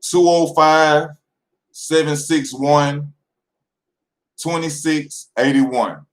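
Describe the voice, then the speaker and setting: A man's voice: a little high, steady tone, very slow. Rambling young man in an echoey room. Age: 20s. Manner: loose, rambling and slangy, addressing viewers directly. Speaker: boxing channel host. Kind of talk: casual vlog monologue.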